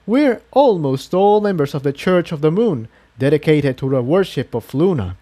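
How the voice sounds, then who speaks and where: Man voicing an earnest, higher-pitched pegasus townsman. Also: animated, moderate pace, warm tone, natural voice. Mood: earnest, proud. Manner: earnest, formal, explanatory. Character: male pegasus townsman, devout, courteous, welcoming guide. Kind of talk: acted dialogue in fan fiction audiobook.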